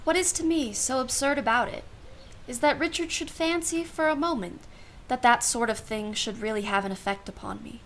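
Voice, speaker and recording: A woman's voice: a little high, normal speed, fairly bright tone. Lady playing a dry witty aunt in a radio play, proper and musing, bit of background noise. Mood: wry. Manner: arch, formal, musing. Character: wry, genteel aunt, self-professedly unsentimental.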